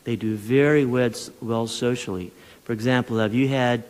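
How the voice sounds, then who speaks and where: A man of ordinary pitch gives formal testimony in a large hearing room, his words carrying a long reverb. He argues in a measured, persuasive way, pausing and restarting as he builds his points. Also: fairly even intonation, a little mellow. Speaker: witness testifying at a legislative hearing.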